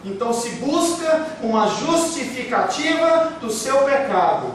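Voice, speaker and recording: High-voiced pastor in an echoey hall. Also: male voice, big pitch swings, measured pace. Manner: emphatic, rhetorical questions, acts out quoted excuses. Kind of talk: sermon. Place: church hall. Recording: very echoey room, speaker far from mic, faint hiss.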